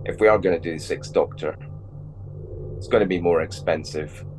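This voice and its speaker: A man with a cold and a gravelly voice, chatting casually as a guest about his work, relaxed and rambling, often correcting himself. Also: low-pitched, moderate pace, background noise. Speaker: toy company creative director, guest. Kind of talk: casual interview chat.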